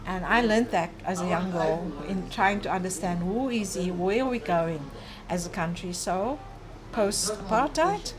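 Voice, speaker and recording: A middle-aged South African woman from Cape Town, a guest speaking earnestly and thoughtfully, with pauses and fillers. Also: medium pitch, animated, a crowd in the background, poor audio. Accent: South African English. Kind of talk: conversational interview.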